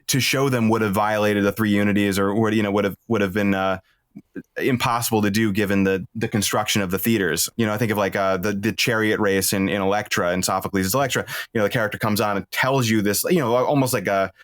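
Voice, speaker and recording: Halting, low-voiced man in thoughtful discussion. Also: steady tone, dry recording, clean studio sound. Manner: hesitant, halting, thoughtful explainer. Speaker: podcast guest, playwright. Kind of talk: casual discussion of drama and storytelling.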